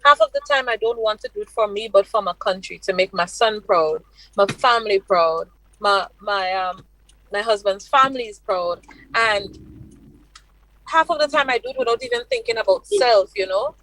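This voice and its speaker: A woman's voice: medium pitch, expressive, moderate pace, creaky, fairly bright tone, low-quality recording. A Grenadian woman giving enthusiastic interview answers as a guest, effusive and emphatic.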